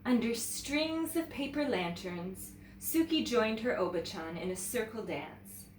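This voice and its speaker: A woman teacher reading a children's story aloud in an expressive, animated way, talking directly to young viewers. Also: on the high side, measured pace. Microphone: camera mic at some distance. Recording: roomy, distant mic with background noise.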